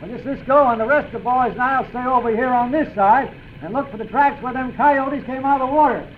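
An actor playing a gruff, blunt frontier sheriff with an American Western accent and folksy exclamations, in 1940s radio acting. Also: male voice, very high-pitched, fairly quick. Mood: brisk. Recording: muffled old radio recording with faint hiss.

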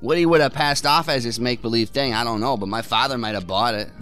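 A man's voice: medium pitch, fast talker, a bit bright, faint music behind, lightly acted. An actor playing a cynical, irritated son of a wealthy man in an audio drama, with a scornful, dismissive manner. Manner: scornful, dismissive, chuckling sarcasm. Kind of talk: naturalistic audio drama acting.

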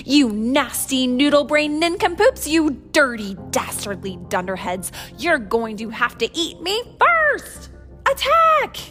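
A woman's voice: medium pitch, big pitch swings, a little slow, fairly bright tone, soft background music. A woman voicing a brave, swashbuckling young pirate captain in a children's story, bold and theatrical. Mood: defiant.

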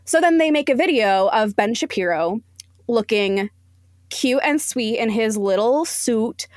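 A woman's voice: on the high side, expressive, unhurried, no room sound, studio quality. A woman speaking bluntly and emphatically as an opinionated guest critic in a casual podcast interview.

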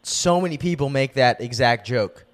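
A man's voice: medium pitch, lively intonation, moderate pace. A young man co-hosting a podcast in casual chat, joking and laughing a lot, slipping into mock voices. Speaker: podcast co-host.

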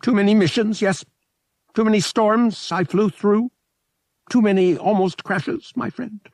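A small, frail alien pilot speaks in a very high, mournful voice. The phrasing is clipped and broken, dropping little words, as a storybook character would in an audiobook. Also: male voice, unhurried, darkish tone, dry recording, studio quality. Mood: mournful, weary.

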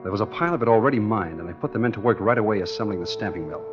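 A man's voice: on the low side, lively intonation, racing, close to an everyday voice. Man playing a cocky American tough guy, old radio. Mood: hard-boiled narration. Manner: tough, slangy, insolent. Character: cocky, ruthless baby-faced young mining engineer. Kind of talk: 1940s radio acting with first-person narration. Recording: muffled 1940s radio recording, faint music.

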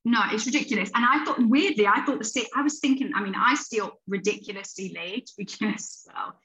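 A woman's voice: a little high, a little fast, fairly bright tone, dull audio. A woman in her late thirties, a podcast guest, chatting casually and rambling through her thoughts with frequent restarts. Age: 30s. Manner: rambling, restarts often, laughs while talking. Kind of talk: casual conversation.

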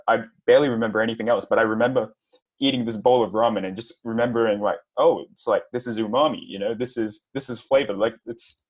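Nervous young man, halting. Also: medium pitch. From Australia. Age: 20s. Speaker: podcast guest. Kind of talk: casual interview.

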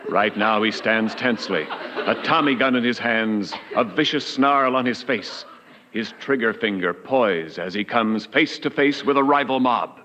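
An actor playing an arch, mock-grave narrator in a 1940s radio comedy, formal and deliberate. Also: male voice, on the low side, sharp tone, a little hiss or hum. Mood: mock-suspenseful. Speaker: announcer, narrator. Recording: dull, reverberant old radio recording.

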